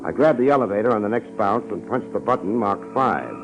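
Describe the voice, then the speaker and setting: Casting: man as hardboiled gumshoe, low voice, laconic and wry, old-time radio crime show with muffled sound, music underneath. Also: fairly quick, lightly acted. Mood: wry storytelling. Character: cool, wisecracking hardboiled male private detective narrator. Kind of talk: 1950s radio detective drama, narration and dialogue.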